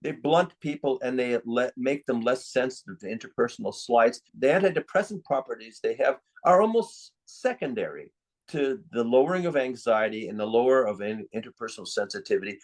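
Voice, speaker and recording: A man's voice: mid-range voice, expressive, brisk, rough recording. An older man speaking as a psychiatrist in an interview, giving his opinions in a reflective, conversational way.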